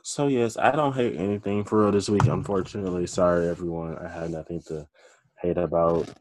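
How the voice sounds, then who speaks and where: A man's voice: sits low, fairly even intonation, fairly quick, darkish tone, no room sound, poor audio. A young man co-hosting a podcast, chatting in a laid-back, slangy way. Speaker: podcast co-host. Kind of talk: casual chat.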